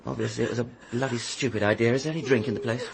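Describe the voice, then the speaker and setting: An actor playing a sardonic, impatient upper-class young Englishman in a British radio drama, with a gravelly, husky voice. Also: mid-range voice, fairly even intonation, rapid-fire, a little hiss or hum, lightly acted. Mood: irritated. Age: young adult. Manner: sardonic, articulate, dismissive.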